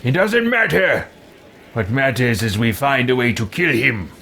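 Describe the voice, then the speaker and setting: A man voicing an imperious, menacing adventurer in a deliberate, theatrical video game roleplay. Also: medium pitch, lively intonation, creaky, pushed and bright, slight background noise, full character voice. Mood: grim.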